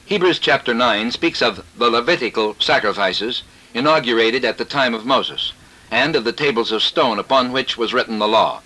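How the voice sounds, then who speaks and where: A man preaching a scripted sermon in a formal, declarative manner, reading scripture and citations in measured phrases, on an old cassette tape recording. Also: medium pitch, lively intonation, bright, forceful tone, low-quality recording.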